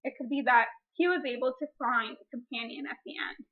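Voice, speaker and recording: A woman's voice: high-pitched, a little fast. A woman speaking as a teacher, reading a picture book expressively and explaining reading strategies. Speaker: teacher demonstrating a read-aloud. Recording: dull lo-fi recording.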